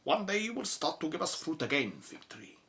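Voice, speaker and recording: A man playing a gentle, pensive Egyptian doctor in an audio drama, soft-spoken and wistful, with formal wording. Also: on the high side, sing-song, a little fast, gravelly, a little hiss or hum, exaggerated voice.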